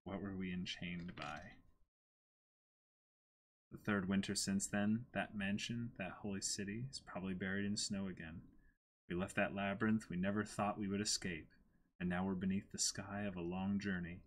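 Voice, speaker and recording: A man's voice: deep voice, steady tone, normal speed, a little hiss or hum. A man in his twenties who switches between reading a story aloud dramatically and chatting casually about it. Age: 20s. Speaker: host reading a manga aloud and reacting. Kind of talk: dramatic reading mixed with casual reaction chat. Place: small indoor room against a plain backdrop.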